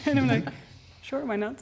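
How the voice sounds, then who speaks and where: A young woman hosting a casual group chat, laughing often as she tells lively stories. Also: on the high side, measured pace, over a noisy crowd. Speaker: host.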